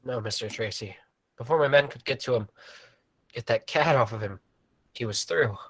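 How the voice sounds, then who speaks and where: A man's voice: mid-range voice, moderate pace, slightly rough. A man playing a grave circus boss in a 1940s radio drama.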